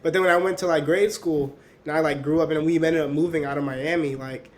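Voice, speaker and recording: A young man of twenty, first raised speaking Spanish, chatting easily with a slightly raised pitch. He leans on fillers constantly and lets his sentences drift down and fade at the ends, relaxed and unhurried. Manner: relaxed, filler-heavy, trails off softly. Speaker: podcast guest. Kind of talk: casual conversation.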